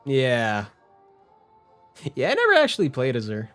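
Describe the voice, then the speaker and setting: Rambling male game streamer, mid-pitched voice. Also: wildly swooping pitch, unhurried, a bit bright. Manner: rambling, hesitant, informal. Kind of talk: casual livestream chat. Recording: game music playing behind the voice.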